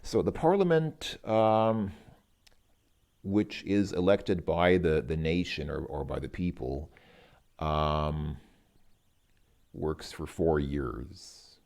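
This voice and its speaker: Deep, slow-spoken man with creaky voice. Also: lively intonation, darkish tone. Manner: halting, thinking aloud, sounding out words slowly. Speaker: host working through a Russian lesson as a learner. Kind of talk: informal language lesson, reading and translating aloud.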